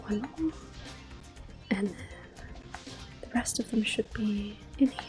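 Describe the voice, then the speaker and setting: A woman giving hushed, unhurried ASMR commentary on a video game as she plays it, speaking in soft whispers. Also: medium pitch, soft background music. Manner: hushed, unhurried narration of own play. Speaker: ASMR gameplay host.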